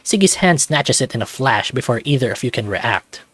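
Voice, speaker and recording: A man's voice: mid-range voice, animated, moderate pace, fairly bright tone, no room sound. Man narrating a visual novel, dry sound. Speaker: host reading a visual novel aloud. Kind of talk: casual playthrough narration.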